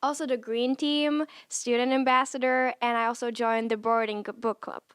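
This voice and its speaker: Teen girl from czech republic, slightly high clear voice, dry. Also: flat delivery, no echo. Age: teenager. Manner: brisk, list-like.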